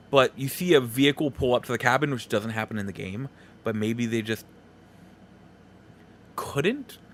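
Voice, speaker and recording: Casting: male podcast host for a solo film review, informal and chatty, medium-pitched voice, prone to rambling asides. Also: a bit bright. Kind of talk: casual solo movie review talk.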